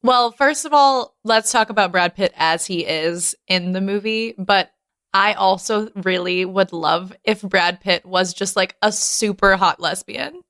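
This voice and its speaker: A woman's voice: medium pitch, measured pace, fairly bright tone, no echo, clean studio sound. A woman hosting a podcast, chatting casually about film in an informal, emphatic way, often repeating words for emphasis. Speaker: podcast host. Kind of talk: casual film discussion chat.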